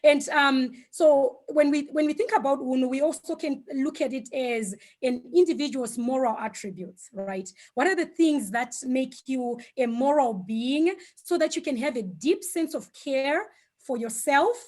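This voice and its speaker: A woman whose first language is Shona, giving an online talk over a video call, with frequent fillers and gentle hesitations. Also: high-pitched, unhurried. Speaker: guest speaker.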